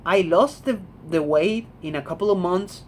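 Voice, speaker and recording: A man's voice: high voice, moderate pace, darkish tone, a little hiss or hum. Blunt young Argentine man. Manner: blunt, repeats "man", swears freely. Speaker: vlogger. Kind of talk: casual talking-head monologue. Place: home room.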